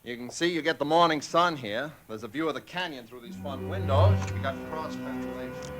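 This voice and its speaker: A man's voice: medium pitch, fairly quick, over music, lightly acted. A man playing a folksy real estate agent. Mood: salesy. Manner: folksy, salesman-like patter. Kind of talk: 1940s radio acting.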